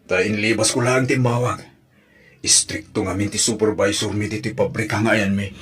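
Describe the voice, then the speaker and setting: Actor as a low-voiced, devoted Ilocano boyfriend. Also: male voice, fairly even intonation, normal speed, fairly bright tone, natural voice. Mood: earnest, affectionate. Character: devoted young male sweetheart working abroad, reassuring. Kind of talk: Ilocano radio drama acting.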